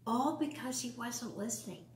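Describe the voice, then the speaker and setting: A woman's voice: fairly high pitch, moderate pace, roomy sound, background noise. A woman reading a picture book aloud to young children, adding casual explanations and questions. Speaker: storytime reader.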